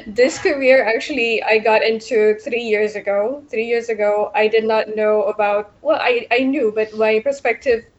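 A young woman from Ethiopia, a civil engineer answering radio interview questions with many pauses and fillers. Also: on the high side, fairly even intonation, highs cut off.

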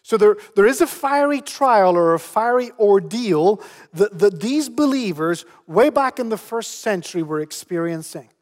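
High-voiced man preaching, hall echo. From Spain. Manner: earnest, repeats words when starting a phrase. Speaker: pastor. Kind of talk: sermon. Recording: long hall reverb.

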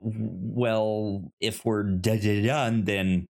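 A man with a frequent stutter talking casually as a co-host, halting and rambling as he repeats sounds and words. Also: lowish voice, fairly even intonation, darkish tone. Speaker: podcast co-host. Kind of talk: casual conversational film discussion.

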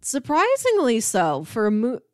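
A woman's voice: a little high, huge pitch swings, measured pace, darkish tone, dry recording, clean studio sound. A woman co-hosting a podcast, chatting casually about movies in an informal way, often dropping in "like". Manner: informal, peppers speech with "like". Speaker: podcast co-host.

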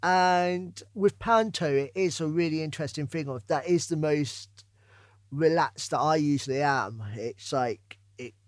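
A man's voice: a little high, a little slow, no echo, studio quality. A man hosting a casual podcast interview, informal and ready with his own opinions.

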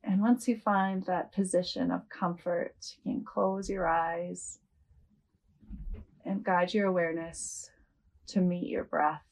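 A woman leading a guided yoga nidra meditation as a host, speaking gently and without hurry, with long pauses. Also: fairly low pitch, fairly even intonation, low-quality recording.

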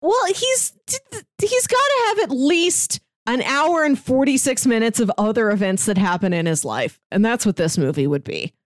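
High-voiced, wry woman in casual banter. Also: animated, moderate pace, no room sound, clean studio sound. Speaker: podcast co-host. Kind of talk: casual, joking pop culture chat.

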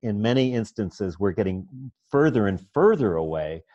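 A man hosting an interview, speaking in an unhurried, attentive way. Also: on the low side, sing-song, mellow, dry recording. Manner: attentive, unhurried, with brief affirming murmurs. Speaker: host. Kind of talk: conversational interview. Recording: dull, dry lo-fi audio.

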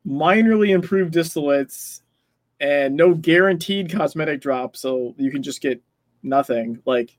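A man with a fairly high-pitched voice talks through game details in a casual way. He explains as he goes and adds small hedging asides. The sound is very dry, with no room echo. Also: measured pace. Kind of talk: casual gaming discussion.

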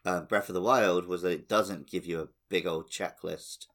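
A man's voice: sits low, fairly even intonation, normal speed, fairly bright tone. A man hosting a podcast in casual, conversational talk. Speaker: podcast host. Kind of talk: casual chat.

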